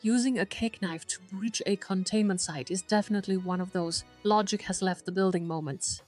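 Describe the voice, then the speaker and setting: A woman's voice: mid-range voice, fairly quick, a little mellow. Wry female co-host with music behind. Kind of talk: scripted-sounding witty commentary.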